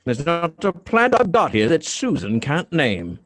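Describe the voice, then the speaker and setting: A man's voice: a little high, huge pitch swings, a little slow, a bit bright, dry recording, full character voice. A man playing a genial middle-aged father in a live radio drama reading, friendly and inquisitive.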